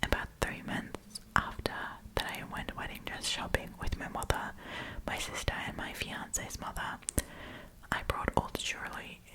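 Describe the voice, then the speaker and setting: A woman's voice: normal speed, poor audio. A woman whispering in a casual, chatty, giggly ASMR storytime style, as host reading and commenting.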